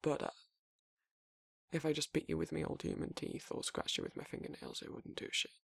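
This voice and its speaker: A man voicing a gruff, laid-back werewolf boyfriend in an intimate ASMR roleplay, with a husky gravelly voice and a halting, rambling, casual delivery. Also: a little high, wildly swooping pitch, fairly bright tone, no room sound, exaggerated voice. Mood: casual, reassuring.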